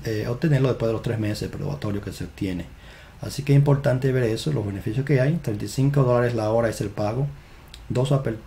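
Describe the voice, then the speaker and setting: A man's voice: fairly low pitch, vocal fry, mellow, slight background noise. A man hosting an immigration channel, reading through job listings in a casual screen-share with frequent "eh" fillers and restarts, recorded on a desk webcam mic. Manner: halting, many "eh" fillers and restarts.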